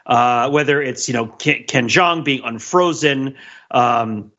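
A man giving analytical commentary as a podcast panelist, deliberate and mock-academic, building his points in measured phrases. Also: mid-range voice, bright, forceful tone, muffled recording.

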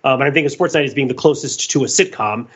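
A man's voice: mid-range voice, fast, fairly bright tone, breathy edge, dull audio. A man co-hosting a podcast in casual discussion, talking discursively and digressing as he searches for words. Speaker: podcast co-host.

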